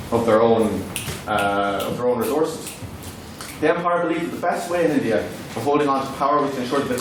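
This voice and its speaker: A man with an Irish accent, a native Irish speaker, giving a formal, declamatory debate speech. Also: medium pitch. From Ireland. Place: echoey debating hall, distant mic. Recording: echoey hall from far off, faint noise.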